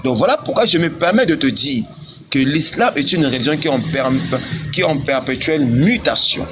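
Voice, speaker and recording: Muffled, higher-pitched man arguing insistently. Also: animated, moderate pace, music in the background. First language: not Arabic. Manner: insistent, repetitive, argumentative. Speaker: debater. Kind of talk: heated religious debate. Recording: muffled lo-fi call, background noise.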